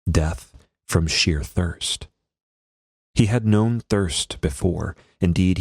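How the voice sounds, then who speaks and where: A man, a priest, reading a devotional text aloud in a solemn, measured and formal manner. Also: sits low, a little mellow, no room sound, studio quality.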